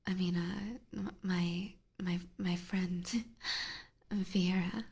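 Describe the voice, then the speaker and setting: A woman's voice: fairly low pitch, level tone, drawn-out words, darkish tone. A woman streaming whispered ASMR roleplay in a playful, teasing, coy manner, close to a binaural microphone. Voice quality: breathy, whispery. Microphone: close binaural ear microphone.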